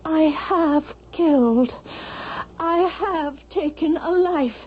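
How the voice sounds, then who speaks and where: An actress playing a grave, troubled woman in a radio drama, with a formal, halting, dramatic delivery. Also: sits high. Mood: grave, anguished. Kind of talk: 1970s radio drama acting. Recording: tinny vintage radio, faint noise.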